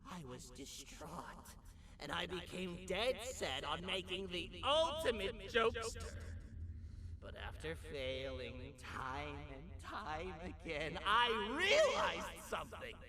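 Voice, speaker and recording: Manic, high-pitched jester villain. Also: male voice, huge pitch swings, slow, a crowd in the background, heavily acted. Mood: bitter, brooding. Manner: theatrical, swinging from hushed brooding to shrieking. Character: manic, self-obsessed male jester creature, desperate to make his ruler laugh. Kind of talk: video game villain monologue.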